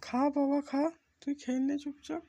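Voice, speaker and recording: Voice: high-pitched, little pitch movement, normal speed, vocal fry. A performer voicing a kindly, doting aunt in a comic cartoon, fussing and caring. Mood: soothing. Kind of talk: amateur comic cartoon dubbing. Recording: muffled, faint background noise.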